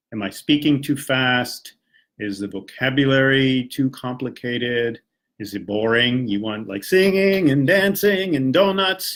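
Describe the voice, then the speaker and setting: A man's voice: medium pitch, fairly bright tone. Chatty American man teaching English. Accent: General American. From Washington, D.C., United States. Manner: friendly, chatty explaining with rhetorical questions. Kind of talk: live-stream English lesson.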